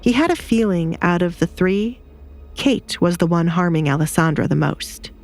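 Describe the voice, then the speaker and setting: Lady with a lowish voice doing dramatic audiobook narration, music behind. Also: normal speed, a little mellow. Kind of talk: scripted dramatic fiction narration.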